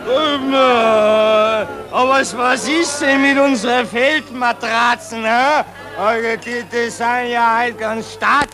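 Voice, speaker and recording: Slow, high-voiced pompous Austrian general. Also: male voice, steady tone, crowd noise, heavily acted. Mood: tipsy. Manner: stammering, repeating himself, declamatory. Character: pompous, drunken Austrian general toasting his officers. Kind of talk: 1940s German radio play acting. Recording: old 1940s radio recording with music.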